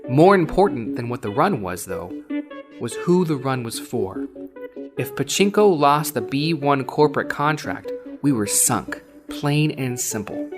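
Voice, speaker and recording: A man narrating a first-person cyberpunk story in a conversational, wry, dramatic audiobook style. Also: mid-range voice. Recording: voice over prominent synthwave music. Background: synthwave music soundtrack.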